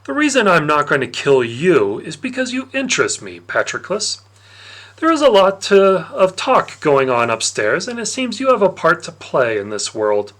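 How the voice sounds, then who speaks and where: A man voicing a plump, jovial god of wine and parties with menace underneath, in a theatrical, condescending storybook manner with drawn-out emphasis. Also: on the high side, wildly swooping pitch, a bit bright, pitched above the performer's own voice. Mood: amused, boastful.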